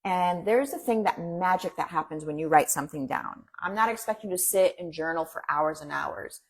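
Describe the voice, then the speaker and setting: A woman whose first language is Farsi, a guest in a casual interview, talking earnestly and encouragingly in quick bursts. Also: fairly low pitch, poor audio.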